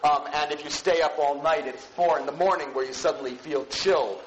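A man giving an informal, conversational university lecture, explaining things in a halting, rambling way with frequent false starts and self-corrections. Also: a little high, steady tone. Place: lecture room. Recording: echoey lecture room with background noise.

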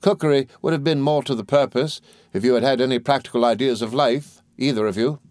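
Man voicing an imperious older English aunt, pitched up. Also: a little fast, a little mellow, no echo, close to an everyday voice. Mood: scornful. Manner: emphatic, commanding, indignant. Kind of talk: audiobook character voice.

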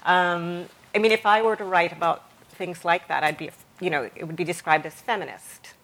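A woman's voice: fairly low pitch, fairly even intonation, normal speed, fairly bright tone, rough recording. A woman from the West Indies interviewing a writer in conversation, building long, tentative questions with a self-deprecating manner. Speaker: interviewer, fellow novelist.

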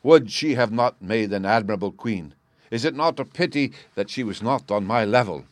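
A man voicing a proud, excitable European king who exclaims loudly and with emphasis, in a storybook reading. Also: fairly low pitch, moderate pace, no room sound, natural voice. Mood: exclaiming.

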